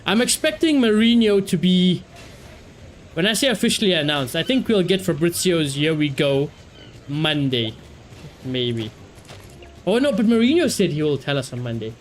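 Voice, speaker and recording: A man's voice: fairly high pitch, very animated, moderate pace, slight background noise. A man live streaming a game, chatting casually and giving opinionated asides, often repeating his phrases.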